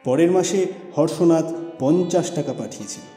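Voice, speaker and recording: A man narrating a literary story as an audiobook, with expressive, theatrical delivery. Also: fairly high pitch, moderate pace. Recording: echoey reverberant voice over music.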